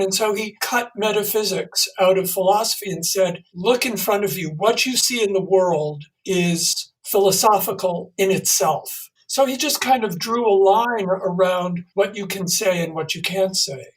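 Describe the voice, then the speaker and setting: A man's voice: high-pitched, normal speed, few pauses, far from the mic, poor audio. A man explaining things as a playwright in an interview.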